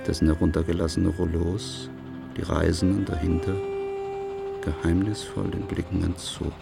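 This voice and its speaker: A man narrating a radio crime drama in a measured, literary way. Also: deep voice, creaky, heavily acted. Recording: music under the voice.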